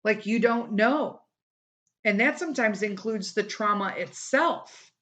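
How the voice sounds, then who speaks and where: A woman's voice: medium pitch, lively intonation, measured pace, a bit bright. Woman, middle-aged, podcast host, rambling casual talk, tired worn voice, close mic. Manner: rambling and candid, lots of "like" and "you know". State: voice wearing out from a day of recording.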